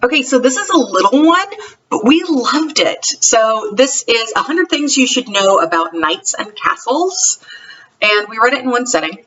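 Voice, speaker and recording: A woman's voice: on the high side, very animated, normal speed, fairly bright tone. A woman chatting casually in a homemade show-and-tell vlog, rambling conversationally and correcting herself as she goes. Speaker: homeschooling parent vlogger. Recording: dull lo-fi, distant mic, faint noise.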